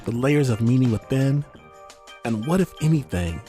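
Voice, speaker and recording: A man's voice: mid-range voice, expressive, moderate pace, dark tone. A man hosting a podcast, reading scripted credits and introductions. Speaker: podcast host. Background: music.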